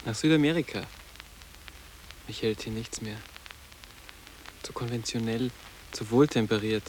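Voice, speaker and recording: A man's voice: mid-range voice, measured pace, a little mellow, a little hiss or hum, lightly acted, low-quality recording. A male actor playing a sarcastic, idealistic schoolboy in a radio play, speaking in terse, dry statements. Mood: dismissive. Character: sarcastic, idealistic teenage schoolboy resisting his elders. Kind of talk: literary German radio play acting.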